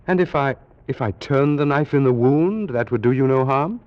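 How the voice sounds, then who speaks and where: An actor playing a worldly, eager ancient Egyptian priest in hearty, formal 1940s radio drama style. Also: male voice, medium pitch, normal speed, natural voice. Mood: amazed, eager. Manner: hearty, theatrical exclamations and formal phrasing. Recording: muffled old-time radio recording.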